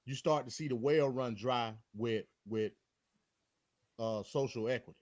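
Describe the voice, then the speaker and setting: A man's voice: medium pitch, measured pace, a little mellow, dull audio. A man speaking bluntly and with profanity, arguing casually about politics as a call-in guest over a phone.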